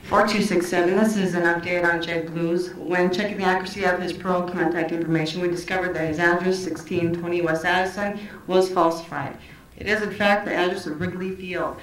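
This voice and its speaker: An echoey recording with faint noise carries an impersonal female dispatcher, acted by a woman. She announces formally in a fairly low voice. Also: fairly even intonation, a little fast, distant mic, natural voice. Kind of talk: amateur stage play acting.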